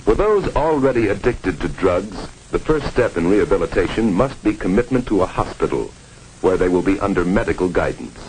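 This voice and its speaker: A man's voice: deep voice, lively intonation, brisk, darkish tone, a little hiss or hum, rough recording. An American man reading a scripted 1950s educational film narration in a grave, formal, emphatic manner. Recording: old 1950s film soundtrack. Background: music.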